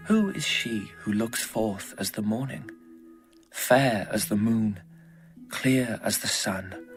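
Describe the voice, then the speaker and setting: A man playing an adoring lover in a measured, poetic dramatised scripture reading. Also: lowish voice. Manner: slow, measured, poetic delivery. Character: adoring male lover in biblical love poetry. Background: background music.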